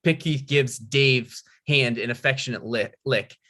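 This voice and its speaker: A man with a bright, forward voice hosts a comedy table read. He narrates screen directions and talks informally to introduce the material. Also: mid-range voice, a little slow. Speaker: table read host, stage-direction reader and performer.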